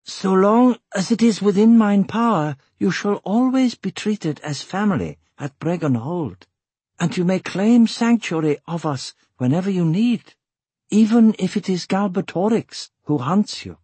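Audiobook dwarf woman, played by a man: thick made-up accent, raised husky pitch, kindly, solemn and formal. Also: lively intonation, a little slow, mellow, no room sound, heavily acted. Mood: solemn welcome. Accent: thick foreign-sounding invented accent. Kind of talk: audiobook character voice.

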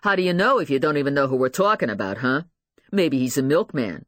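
A woman's voice: rumbling low, lively intonation, fairly quick, fairly bright tone, dry recording. Audiobook role: aggressive policeman voiced by a woman, goading, tough, streetwise and demanding, in a dry studio sound. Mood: sarcastic, aggressive. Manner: blunt, goading, slangy, demanding. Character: aggressive, bullying, tough male police investigator. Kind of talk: dramatised audiobook dialogue.

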